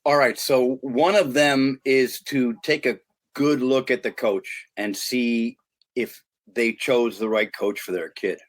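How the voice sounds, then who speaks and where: A man's voice: mid-range voice, fairly even intonation, slow. Middle-aged american guy on a podcast, earnest, keeps restarting his sentences. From United States. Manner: earnest and emphatic, with frequent restarts. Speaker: guest, youth sports consultant. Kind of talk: podcast interview talk.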